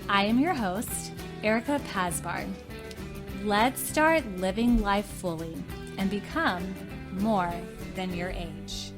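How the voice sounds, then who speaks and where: A woman's voice: mid-range voice, slow pace, over music. A woman hosting an interview podcast, moving between scripted narration and friendly conversation. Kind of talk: warm-up scripted intro, then conversational interview.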